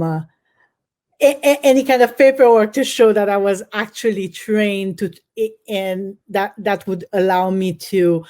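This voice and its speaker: A woman from Haiti with a mellow, warm voice speaks as an author guest in an interview. She is middle-aged and answers in an earnest, reflective way, often pausing with ums and restarts. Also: on the low side.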